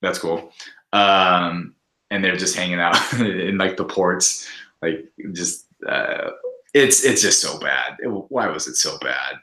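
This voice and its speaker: Young male podcast guest, sharp bright edge to the voice, loose halting conversational opinions, audible room echo. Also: low voice. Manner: halting, rambling, peppered with "like". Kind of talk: casual chat, opinionated commentary. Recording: echoey, roomy sound.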